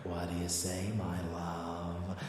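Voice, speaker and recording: Man acting a slow, murmuring vampire lover, roomy echo. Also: deep voice, monotone, darkish tone, distant mic. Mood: seductive, scheming. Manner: slow, intimate murmuring, dropping to whispers. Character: seductive, predatory male vampire lover plotting slaughter. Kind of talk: ASMR audio roleplay.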